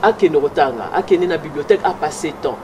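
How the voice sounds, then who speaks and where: A woman's voice: brisk, highs cut off. A middle-aged woman from Congo with a Congolese French accent and a slight North American tinge, talking animatedly and switching between French and Lingala in a street interview. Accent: Congolese French with a slight North American English tinge. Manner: animated, emphatic, pitch jumps high when insisting. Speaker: pageant runner-up interviewed about her project. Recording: loud street noise with passing vehicles. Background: traffic and street noise.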